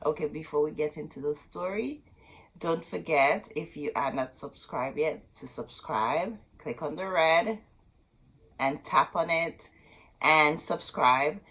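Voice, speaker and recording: A woman's voice: sits low, slow pace, a bit bright, slightly rough. A woman hosting a children's storytime, reading a storybook expressively and talking directly to young listeners. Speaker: children's storytime host and reader. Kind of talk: children's storybook read-aloud with asides.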